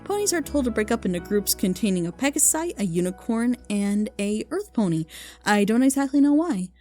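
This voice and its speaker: High-voiced woman, casual reviewer, music behind. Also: expressive, brisk, warm tone. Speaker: video host recommending fan audio dramas.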